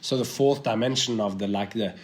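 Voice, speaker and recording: A man with a cold and a hoarse voice, thinking aloud as a guest in a podcast conversation, with many restarts. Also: fairly low pitch, fairly quick. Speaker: guest, performer.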